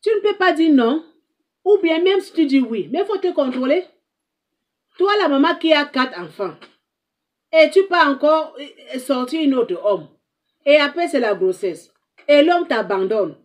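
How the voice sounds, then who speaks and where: Woman advice host, higher-pitched, preachy and scolding, repeats her points. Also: lively intonation, measured pace. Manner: emphatic, scolding, repeats herself. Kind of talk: moralizing monologue to viewers.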